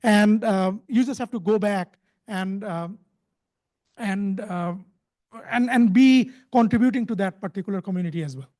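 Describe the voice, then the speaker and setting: Male conference presenter, strikingly high voice, stop-start delivery with many repeated words. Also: a little mellow. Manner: halting, repeats words and restarts phrases often. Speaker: conference speaker, company founder.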